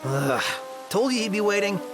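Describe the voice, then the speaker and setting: A man's voice: sits high, sing-song, normal speed. An actor playing a young man in a video game, informal, blunt, curious and given to grumbling. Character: young male road-trip companion, casual, grumbling and curious. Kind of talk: video game cutscene acting. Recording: game soundtrack music behind.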